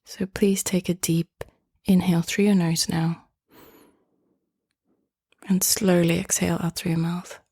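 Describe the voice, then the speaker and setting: A woman guides a meditation in a hushed voice that keeps slipping into a half-whisper. Her tone is mellow and low-set, and it stays very even in pitch. She speaks slowly and gently, inviting the listener along. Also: slightly rough, dry recording, studio quality. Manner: soft, hushed, often half-whispered delivery; gentle, inviting, unhurried phrasing.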